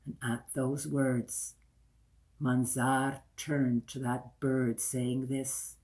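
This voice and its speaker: Male storyteller, 60s, unhurried and deliberate delivery, theatrical folktale narration. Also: medium pitch, flat delivery, a little mellow. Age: older, 60s. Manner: deliberate, repeats phrases for effect. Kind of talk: dramatic oral folktale storytelling. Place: small room indoors by a window.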